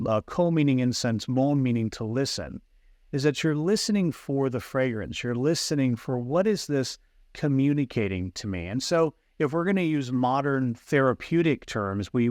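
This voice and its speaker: A man speaks in an earnest, teacherly way, often restarting his phrases. His voice sits in a middle pitch. The sound is close and dry, with no room around it. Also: dry recording. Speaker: guest, fragrance hypnosis coach. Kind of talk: explanatory interview talk. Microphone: video call.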